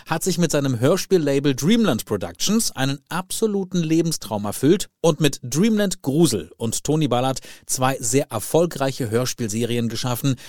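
A man's voice: mid-range voice, darkish tone. A man hosting a podcast in a conversational style. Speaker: podcast host.

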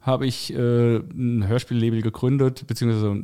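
A middle-aged man from Hesse talking casually as a podcast guest, hesitant, with many filled pauses. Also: mid-range voice, level tone, warm tone, smooth. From Hesse, Germany. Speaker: podcast guest, audio drama producer. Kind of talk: casual interview talk.

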